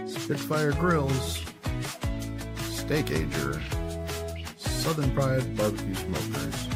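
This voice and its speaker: A man reading a sponsor list in a slow monotone. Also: mid-range voice. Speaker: sponsor reader. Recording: read over loud guitar music.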